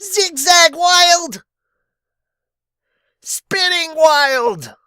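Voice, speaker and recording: A man voicing a loud, excitable male beast-man warrior from a manga, shouting his lines eagerly. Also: very high-pitched, drawn-out words, no echo, studio quality, voice pushed up, heavily acted. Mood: battle cries.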